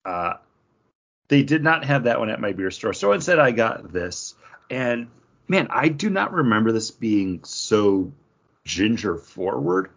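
A man's voice: lowish voice, sing-song, measured pace, a bit bright. A man chatting casually as a podcast host, giving his take on a drink. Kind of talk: casual chat, beer review. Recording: slightly dull, lo-fi recording.

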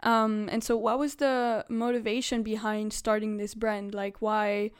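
A woman's voice: medium pitch, level tone, unhurried, clear, resonant tone. A woman hosting a podcast and asking questions in a conversational interview style.

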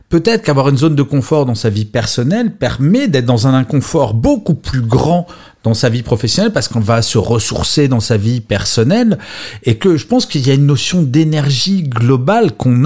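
A man's voice: medium pitch, darkish tone. A man with a European French accent hosting an informal discussion, chatty and playful, with emphatic phrasing. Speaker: host, moderator. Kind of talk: informal panel moderation.

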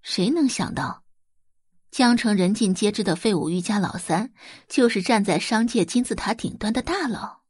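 Expressive woman narrating dramatic fiction. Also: medium pitch, moderate pace, husky, no echo, clean studio sound. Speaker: audiobook narrator.

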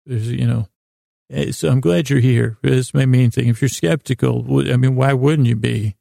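A man hosting a sleep podcast, telling stories in a casual, meandering way with a gravelly voice, often halting and trailing off mid-thought. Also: mid-range voice, steady tone, mellow, dry recording, clean studio sound. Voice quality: gravelly, creaky voice. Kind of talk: rambling casual bedtime storytelling monologue.

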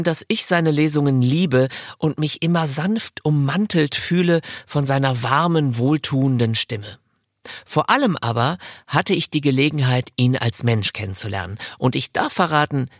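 A man's voice: medium pitch, lively intonation, normal speed, dry recording, studio quality. A man hosting an audiobook podcast, presenting and interviewing guests. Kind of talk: scripted introduction and interview.

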